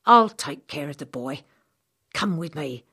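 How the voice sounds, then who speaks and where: Woman doing a gruff, measured old apothecary man. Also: low voice, expressive, sharp tone, full character voice. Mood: gruff, firm. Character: gruff old apothecary man, knowing, aloof, secretly kind.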